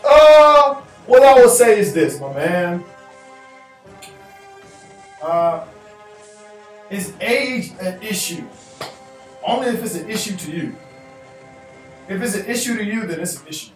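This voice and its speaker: High-voiced man giving animated advice. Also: slow pace, pauses often. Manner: animated, gestures with hands, repeats phrases for emphasis. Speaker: advice vlogger answering viewer emails. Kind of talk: casual advice talk to camera. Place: indoor room in front of a chalkboard backdrop. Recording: echoey room, voice a bit far off, faint music.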